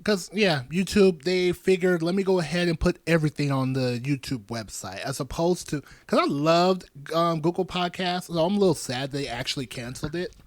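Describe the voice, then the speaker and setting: A man in his thirties hosting a podcast, chatting casually with animated, joking asides. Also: fairly high pitch, normal speed, hardly any pauses, no echo. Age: 30s. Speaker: podcast host.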